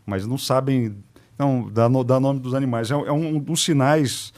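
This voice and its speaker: A man's voice: medium pitch, steady tone, moderate pace, a little mellow. A man from Brazil speaks in a mid-range voice. He chats as a guest, circling back over phrases as he builds each point. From Campo Grande, Brazil. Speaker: podcast guest. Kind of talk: casual chat.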